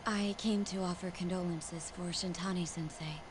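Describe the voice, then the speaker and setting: Measured, reserved woman prosecutor played by an actress. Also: fairly low pitch, fairly even intonation, background noise, lightly acted. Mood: grave. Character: earnest, reserved female prosecutor in crime drama. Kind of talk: video game voice acting, conversational. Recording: music behind the voice.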